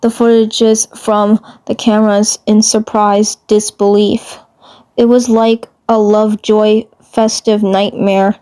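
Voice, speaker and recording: Slow, halting woman narrator. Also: mid-range voice, level tone, mellow, smooth. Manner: halting, repeats phrases while reading. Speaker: fan fiction narrator. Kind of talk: storytelling narration.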